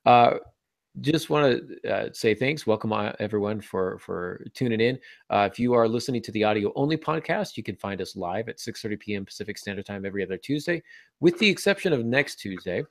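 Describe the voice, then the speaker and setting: A man's voice: fairly low pitch, lively intonation, a little fast, vocal fry, no room sound, clean studio sound. A man hosting a podcast in a casual, chatty way, sounding congested and a little under the weather. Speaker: podcast host.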